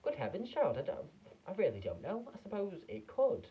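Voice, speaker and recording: A man reading aloud and voicing an eccentric, excitable male chocolate maker with a theatrical, fussy manner. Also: high-pitched, normal speed, husky, phone-quality sound, pitched above the performer's own voice, heavily acted. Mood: excited.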